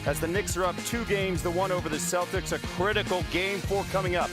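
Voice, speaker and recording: A man's voice: high voice, fairly even intonation, fairly quick. A man presenting live sports television in a polished broadcast style. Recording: loud music under broadcast voice.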